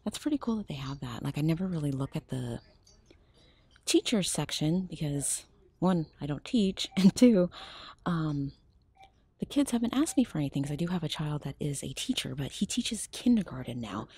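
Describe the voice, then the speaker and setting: A woman's voice: sits low, expressive, moderate pace, dark tone, slightly rough, no echo. An older woman chatting casually as she films herself browsing a store aisle, often dropping to a whisper. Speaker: shopping vlogger. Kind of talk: casual shop-with-me narration. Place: large discount store aisle. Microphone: handheld phone camera.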